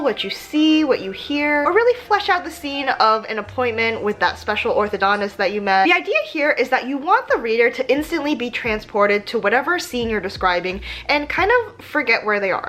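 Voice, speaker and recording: A woman's voice: a little high, fairly bright tone. A young American woman in her twenties talking casually as a vlog host, chatty and playfully self-mocking, with dramatic emphasis and plenty of tips. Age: 20s. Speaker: YouTube host, dental student.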